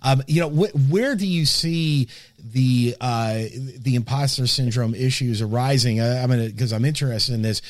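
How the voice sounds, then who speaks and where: A man hosting a conversational interview, forming wordy questions in a halting way with repeated words. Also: medium pitch, no echo. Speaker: interviewer, host.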